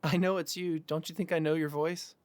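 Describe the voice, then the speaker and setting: A man playing a calm young man who shares secrets in an audio drama, in a casual conversational voice. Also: on the high side, brisk, dry recording, lightly acted. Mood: relaxed.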